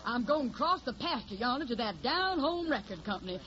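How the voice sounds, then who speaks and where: An actress voicing a sassy, sugary young country gal with a put-on Tennessee hillbilly accent in an old radio drama. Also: female voice, a little high, very animated, a little fast, a bit bright, slight background noise. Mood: mock-hurt, sassy. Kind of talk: 1940s radio drama acting. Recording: muffled old radio broadcast.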